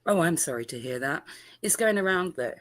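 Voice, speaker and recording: A woman's voice: sits low, lively intonation, a little fast. A woman playing a patient judge, hesitant. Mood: calm. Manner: hesitant, with many ums. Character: patient female judge presiding over a hearing. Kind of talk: amateur courtroom roleplay.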